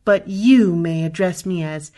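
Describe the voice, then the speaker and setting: Imperious, gloomy young villainess for sci-fi radio play, played by a woman, slow delivery, scornful and menacing. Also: on the low side, dark tone, close to an everyday voice. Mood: haughty, scornful. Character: haughty, menacing, gloomy teenage female Sith apprentice. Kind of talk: science-fiction audio drama acting.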